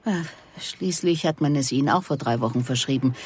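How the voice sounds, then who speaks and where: A woman's voice: very deep voice, fairly quick, darkish tone, slight background noise. A woman playing a persistent police investigator in a German radio drama, asking controlled, pointed questions. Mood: probing.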